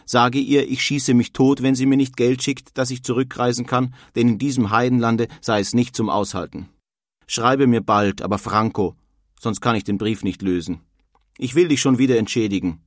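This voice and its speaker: A man's voice: mid-range voice, steady tone, normal speed, no echo, clean studio sound, close to an everyday voice. An actor playing a grumbling, homesick German emigrant craftsman in a dramatised reading of a period letter. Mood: pleading, blustering. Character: disgruntled German emigrant craftsman writing home, blustering and homesick.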